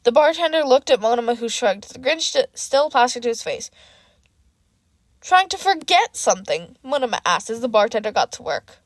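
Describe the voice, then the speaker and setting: A woman narrates fiction in a voice on the high side. The sound is dry, with very little room in it. She reads from a script and occasionally catches and corrects a slip. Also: expressive, a little fast, hardly any pauses, no echo. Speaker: audiobook narrator of fan fiction.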